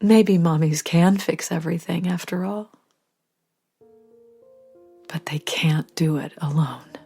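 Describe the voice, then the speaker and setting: A middle-aged woman narrating a first-person audio drama as a mother, tender and softly emotional, sometimes sinking to a whisper. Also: on the low side, slow, creaky, breathy edge, faint music behind.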